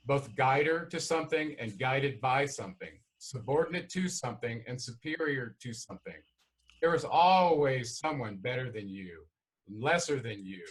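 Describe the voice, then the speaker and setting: A man's voice: medium pitch, a bit bright, natural voice, rough recording. A man playing a lofty, self-assured alien god who lectures in a formal, philosophical manner in a screenplay read-through.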